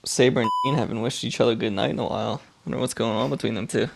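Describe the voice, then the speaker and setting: A man with a gravelly, creaky voice co-hosts a casual podcast. He cracks jokes and needles his friends about their seasons. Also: medium pitch, quick pace. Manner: wisecracking, teasing. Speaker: podcast co-host.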